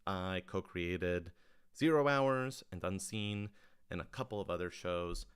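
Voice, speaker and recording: Clear-voiced man in casual livestream chat. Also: deep voice, a little slow. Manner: informal, thinking aloud with self-corrections. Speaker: podcast writer-director hosting a livestream Q&A.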